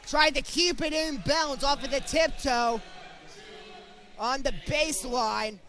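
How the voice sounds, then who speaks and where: A man's voice: very high voice, slow, pushed and bright. A man working as a play-by-play sportscaster, calling live game action in short, clipped phrases.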